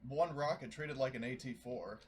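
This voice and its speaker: A man's voice: mid-range voice, brisk. A man playing a tabletop roleplaying game, casually narrating and speaking for his character.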